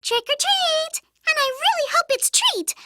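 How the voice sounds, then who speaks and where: A woman's voice: high-pitched, very animated, slow pace, noisy background, exaggerated voice. A woman voicing an eager young fantasy heroine in scripted video game lines. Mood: playful, excited.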